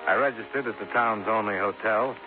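An actor playing a wry, businesslike insurance investigator in a 1950s radio detective drama, with a clipped, dry delivery. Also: male voice, lowish voice, fairly quick, over music, lightly acted. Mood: brisk narration. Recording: noisy, tinny 1950s radio broadcast.

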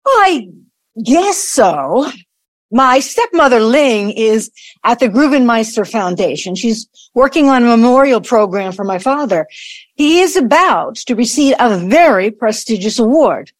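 A woman's voice: fairly high pitch, very animated, a little slow, dry recording. A woman playing a wary, proud tycoon's daughter in an audio play, guarded and proper in manner. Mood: hesitant, polite.

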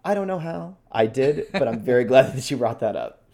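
A man's voice: medium pitch, animated, brisk, dark tone. A man co-hosting a podcast, talking in an explanatory way about voice technique. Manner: demonstrates points with his own voice. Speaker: podcast co-host. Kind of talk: explanatory podcast talk on voice acting.

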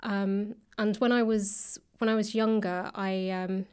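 A woman speaks casually as a guest in an interview. Her voice stays at a medium pitch with little rise or fall. She pauses and rephrases as she explains. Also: steady tone, darkish tone, clear, resonant tone. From south London, England. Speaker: podcast guest, writer and actor.

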